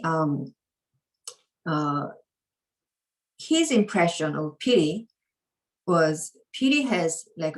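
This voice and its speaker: A woman, a musician explaining a piece in a halting way with many fillers and restarts. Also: fairly low pitch, a little mellow, low-quality recording. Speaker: piri performer, guest.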